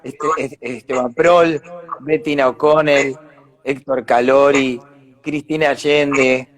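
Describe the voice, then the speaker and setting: A man's voice: mid-range voice, steady tone, slow. An Argentine man, an actor being interviewed, talking casually and reflectively as he explains things and searches for words. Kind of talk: casual live conversation.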